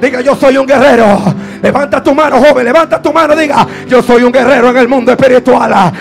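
A man's voice: extremely high pitch, fairly even intonation, brisk, vocal fry. A man preaching a fervent Pentecostal sermon in a strained voice, exhorting and insistently repeating his phrases. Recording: preaching over faint background music. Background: faint music.

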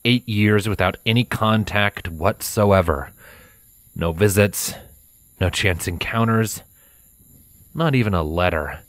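A man with a deep voice reads fiction as a narrator. His delivery is scripted and dramatic, recorded dry and close. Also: slow, pauses often, dry recording.

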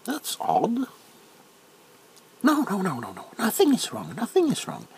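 A middle-aged man playing a nervous, bewildered, awkwardly polite doctor in a soft-spoken comedic ASMR roleplay, stammering and repeating himself. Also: extremely high pitch, wildly swooping pitch, unhurried, slightly rough, pitched above the performer's own voice, exaggerated voice. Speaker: ASMR performer.

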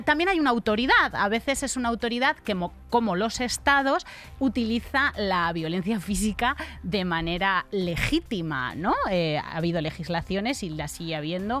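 A woman's voice: mid-range voice, a bit bright. A woman in her thirties with a Spain accent, explaining things conversationally and wryly as a podcast co-host in a studio. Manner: wry, plain-spoken. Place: indoor radio-style podcast studio. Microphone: studio microphone on desk stand.

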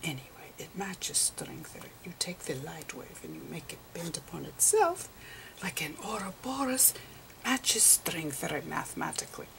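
A woman giving an ASMR lecture in a breathy whisper, speaking in halting short phrases. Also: low voice, expressive, a bit bright, poor audio. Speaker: ASMR performer playing a physicist. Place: close over a chalkboard on a table indoors.

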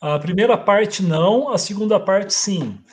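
High-voiced man lecturing on a video call. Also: measured pace. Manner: relaxed, thinking aloud with frequent tag questions. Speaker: guest lecturer. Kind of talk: casual explanatory talk on a video call. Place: home room, indoors.

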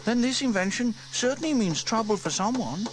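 A man doing a high, skeptical little girl. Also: animated, normal speed, noisy background. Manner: skeptical, teasing. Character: young girl, quick and doubtful. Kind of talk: storybook reading.

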